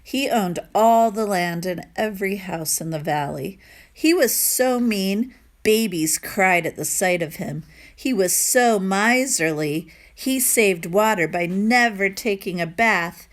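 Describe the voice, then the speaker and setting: A woman's voice: medium pitch, slow pace. A woman reading a storybook aloud to children, addressing her young listeners informally.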